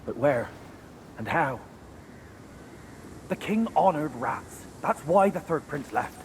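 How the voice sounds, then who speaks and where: An actor playing a wary rat exile in a video game, earnest and guarded, weary but resolute. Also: male voice, fairly high pitch, animated, slow pace, slight background noise. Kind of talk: video game character acting.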